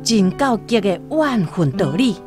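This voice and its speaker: A woman's voice: medium pitch, sing-song, unhurried. A woman with a Taiwanese accent narrating a scripted literary radio story expressively. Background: soft plucked-string music.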